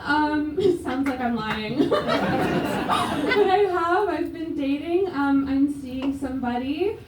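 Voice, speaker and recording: A young Canadian woman with a high voice works through a self-mocking stand-up set at a slow, deadpan pace. Her voice is picked up from a distance in an echoey comedy club. The crowd is loud, and there is steady background noise. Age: young adult. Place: comedy club stage, audience laughing. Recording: roomy live club sound from a distance.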